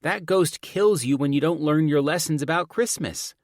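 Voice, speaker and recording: A man's voice: on the high side, moderate pace, no echo, clean studio sound, voice pushed up, close to an everyday voice. A man voicing a cheeky, sassy young daughter with a morbid streak in an audiobook. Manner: sassy, teasing. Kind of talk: audiobook character dialogue.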